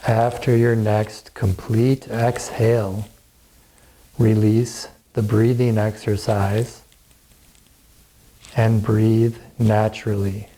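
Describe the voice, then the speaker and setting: A man gently and calmly guiding a yoga and relaxation class in measured phrases with pauses. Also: fairly low pitch, poor audio. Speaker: yoga teacher. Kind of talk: guided yoga and relaxation instruction.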